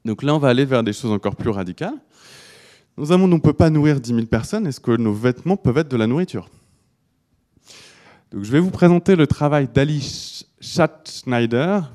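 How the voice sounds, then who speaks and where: Man, mellow voice, live talk, hesitant, restarts sentences, speaking to a room of listeners. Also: mid-range voice, lively intonation, a little fast. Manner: hesitant, many restarts and fillers. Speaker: speaker presenting to an audience. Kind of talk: live talk on design with slides.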